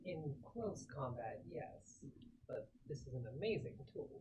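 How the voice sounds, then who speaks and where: A man's voice: mid-range voice, sing-song, measured pace, noisy background. A man playing a tabletop game, talking casually through his character's moves and questions. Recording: voices of group in room.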